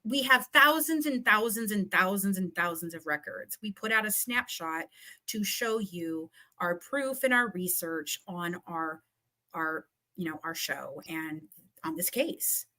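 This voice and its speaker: A woman's voice: fairly low pitch, a little slow, sharp tone, rough recording. A woman giving heated, combative commentary in a casual live chat, repeating phrases for emphasis. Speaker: guest.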